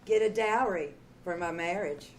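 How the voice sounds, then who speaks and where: An actress playing a scheming, melodramatic young fiancee in an old-time radio mystery with theatrical delivery. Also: female voice, fairly low pitch, normal speed, very noisy, close to an everyday voice. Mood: grimly determined. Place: live on a theater stage.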